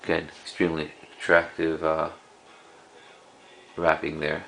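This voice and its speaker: Slow, low-voiced man, gravelly monotone. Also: noisy background. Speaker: cassette collector presenting a collection. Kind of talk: casual unscripted show-and-tell.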